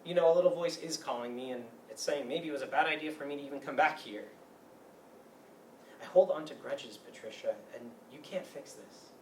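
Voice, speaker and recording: A man's voice: on the high side, brisk, natural voice. Male, actor as bitter, self-deprecating grudge-holder in a comedy-drama reading. Distant, roomy, faint noise. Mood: angry, bitter. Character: bitter, neurotic grown man nursing an old grudge. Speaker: stage actor. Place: meeting room used for a staged reading.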